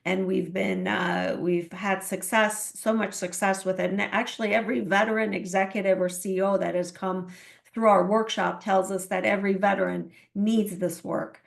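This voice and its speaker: A woman from Iran talks earnestly about her coaching work, with a gravelly, creaky edge to her voice. She hesitates often and restarts her sentences. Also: mid-range voice, fairly even intonation, normal speed. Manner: earnest, many fillers and restarts. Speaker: guest, transformational coach. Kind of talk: interview answers.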